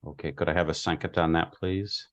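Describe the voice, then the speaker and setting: A man chairing a local government meeting in a halting, informal, polite way. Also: low-pitched, fairly even intonation. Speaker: commission chair.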